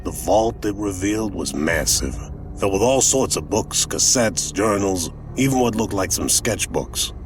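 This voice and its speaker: An actor playing an older private detective, narrating a noir audio drama in a wry, colloquial, world-weary way. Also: male voice, deep voice, animated. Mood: wry, reflective. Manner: wry, colloquial, deliberate storytelling. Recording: music under the voice. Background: music.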